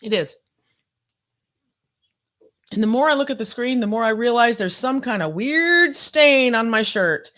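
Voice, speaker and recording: A woman's voice: on the high side, animated, unhurried, pauses often, a bit bright. A woman in her fifties co-hosting a live podcast, chatting casually and reading viewer comments aloud, laughing often and swearing freely. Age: 50s. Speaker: podcast co-host.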